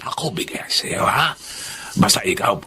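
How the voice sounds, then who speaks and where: A man's voice: medium pitch, expressive, measured pace, sharp tone, in a whisper. A man playing a coaxing businessman buying protection in a radio drama, with a Chinese accent and broken pidgin phrasing. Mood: wheedling, conspiratorial.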